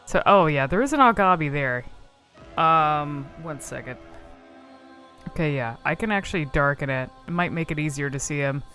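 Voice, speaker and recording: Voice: medium pitch, expressive, moderate pace, pushed and bright, faint music behind. A video creator giving casual, informal commentary on a game, exploring and thinking aloud.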